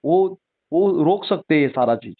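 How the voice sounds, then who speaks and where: A man's voice: a little high, lively intonation, normal speed. A man, speaking insistently and with grievance as he pleads his case at length in a phone conversation. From Maharashtra, India. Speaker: former soldier and campaigner for soldiers' rights. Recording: narrow tinny phone-call line.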